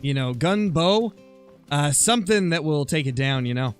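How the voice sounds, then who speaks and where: Higher-pitched man chatting on a gaming livestream. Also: expressive, moderate pace, smooth, soft background music. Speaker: live streamer, gamer. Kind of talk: casual livestream chat over gameplay, answering viewer comments.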